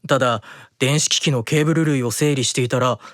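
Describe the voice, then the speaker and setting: A gentle, courteous angel played by a man for an anime audio drama, medium in pitch and closely recorded without room sound. He comes across as polite and blithely unbothered. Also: fairly even intonation, brisk, dry recording, studio quality, lightly acted. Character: Simeon, gentle polite male angel, blithely clumsy with technology.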